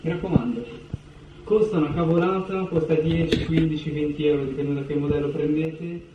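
A man's voice: a little high, fairly even intonation, a little fast, dull audio. A young man, a fitness vlogger, chatting casually to camera in a small home kitchen and giving food-prep tips with a joking tone. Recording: echoey kitchen, distant mic, noisy.

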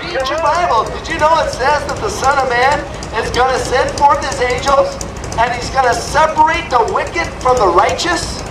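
Brief: male street preacher, high fiery voice, recorded off-mic amid loud street noise. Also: normal speed. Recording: very noisy echoing street, distant mic.

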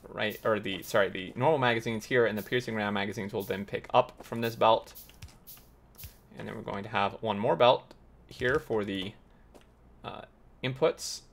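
A man hosting a gaming tutorial, slightly raspy as he loses his voice, explaining step by step while thinking aloud with many uhs. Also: medium pitch, fairly bright tone. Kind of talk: explaining gameplay while playing.

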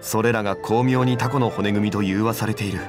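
A man playing a composed, scholarly gentleman in an anime game, speaking formally and with measured dignity. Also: lowish voice, steady tone, music in the background, close to an everyday voice. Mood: composed, admiring. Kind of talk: anime game character acting.

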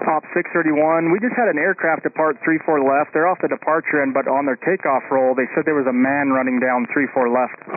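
Fast-talking male ground controller on radio. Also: a little high, steady tone. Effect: aviation radio. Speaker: air traffic ground controller. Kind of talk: radio traffic instructions. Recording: phone-like radio band with faint static.

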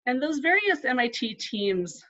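A woman's voice: high-pitched, big pitch swings, a little slow, a bit bright, dull audio. A woman archivist giving a live online talk, conversational with frequent ums and asides and no regional accent. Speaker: museum archivist.

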